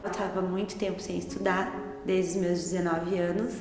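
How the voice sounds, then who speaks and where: A woman's voice: lowish voice, little pitch movement, normal speed. A woman in her thirties with a southern Brazilian accent, telling things casually as a student interviewee. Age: 30s. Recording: echoey hall, music underneath.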